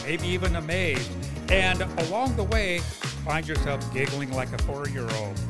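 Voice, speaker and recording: A man hosting a solo tech podcast in a semi-scripted, conversational way with folksy asides. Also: on the high side. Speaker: podcast host.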